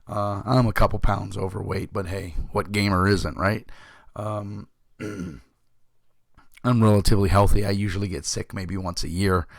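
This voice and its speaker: Host, fifties, man; very deep gravelly voice, hoarse, rambling casual chat. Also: fairly even intonation, a little slow, dry recording, clean studio sound. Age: 50s. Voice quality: rough, gravelly voice. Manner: rambling, many fillers and throat clearing. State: hoarse, recovering from illness. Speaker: tabletop game channel host.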